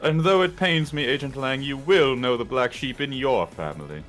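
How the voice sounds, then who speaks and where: A man's voice: mid-range voice, lively intonation, moderate pace, a bit bright, faint music behind, natural voice. A man voicing a composed, sly prosecutor with dry confidence in a comedic game fan dub. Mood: smug, dry. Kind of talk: fan dub voice acting with improvised banter.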